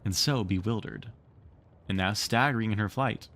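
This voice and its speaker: A man narrating a storybook read-along with expressive, theatrical storytelling. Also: on the low side, normal speed. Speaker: streamer reading a book aloud.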